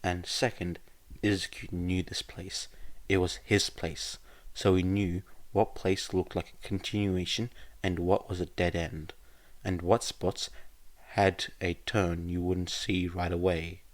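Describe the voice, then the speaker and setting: A man's voice: low-pitched, level tone, a little slow. A man reading scripted fan fiction as an audiobook-style narrator. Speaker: podfic narrator.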